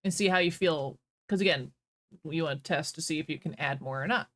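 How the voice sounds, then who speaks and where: A woman's voice: low-pitched, fairly quick. A woman streamer chatting casually, giggly and playfully instructive as she talks. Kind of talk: casual chat.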